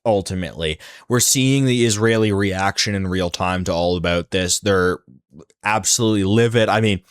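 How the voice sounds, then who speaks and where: A man's voice: deep voice, moderate pace, smooth, no echo, clean studio sound. A man hosting a reaction show, talking in a slangy, incredulous way. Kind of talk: casual reaction commentary.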